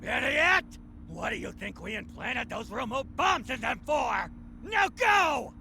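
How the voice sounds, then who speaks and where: A man playing a power-hungry old military commander in a video game, a gravelly, theatrical villain used to giving orders. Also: extremely high pitch, sing-song, bright, forceful tone, a little hiss or hum, full character voice. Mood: angry commanding. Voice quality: gravelly, creaky. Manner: theatrical, commanding, drawn-out delivery.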